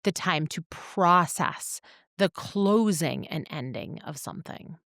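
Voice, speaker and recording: A woman co-hosting a podcast, talking in a thoughtful, measured, reflective way. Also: fairly low pitch, expressive, a bit bright, dry recording, clean studio sound. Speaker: podcast co-host.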